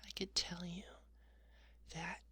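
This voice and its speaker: A woman's voice: lowish voice, flat delivery, slow, bright, forceful tone, husky. A young woman whispering in a playful, rambling ASMR monologue, teasing the listener and repeating words over and over. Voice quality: whispering throughout. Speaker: ASMR creator.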